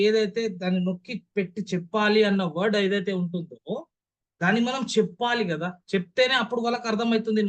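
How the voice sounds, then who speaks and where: A young male trainer with a Telugu accent speaks in a notably high voice. He lectures an online class emphatically, leaning on key words. He keeps putting rhetorical questions to his listeners to check that they follow. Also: a bit bright.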